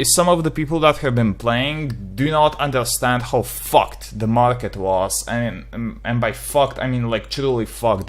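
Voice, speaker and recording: A man's voice: mid-range voice, fairly bright tone. Young Slovenian man rambling about a game. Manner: rambling, swears freely, many fillers. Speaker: gaming video creator. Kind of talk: casual opinion vlog.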